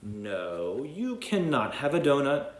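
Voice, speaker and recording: A man voicing a prim, stubborn male panda, speaking slowly and emphatically. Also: medium pitch, very animated, slight background noise, voice pushed up. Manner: slow, emphatic. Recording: echoey room, faint ambience.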